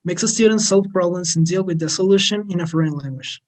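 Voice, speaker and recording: A man's voice: high voice, a little fast, darkish tone. A male moderator reading a prepared project introduction in a formal, scripted manner over a video call. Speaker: webinar moderator.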